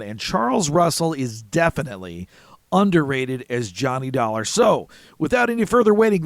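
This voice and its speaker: A man hosts and introduces vintage radio programs. His pitch moves in wide, lively swings, and the sound is close and dry with no room around it. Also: dry recording. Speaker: host of old-time radio show. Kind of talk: scripted-sounding introduction, presenting.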